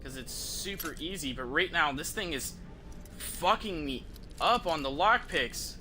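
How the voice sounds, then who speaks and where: A man's voice: on the high side, animated, measured pace, bright, forceful tone, slight background noise. A man doing casual, profane and slangy commentary as he livestreams a video game. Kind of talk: casual gameplay commentary to stream viewers.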